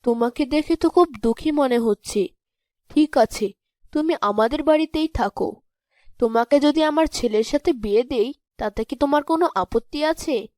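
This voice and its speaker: A kindly but shrewd village mother in a cartoon fairy tale , acted by a woman. Her voice is high, and it is recorded dry, without room sound. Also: moderate pace, a little mellow, no echo, lightly acted. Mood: sympathetic.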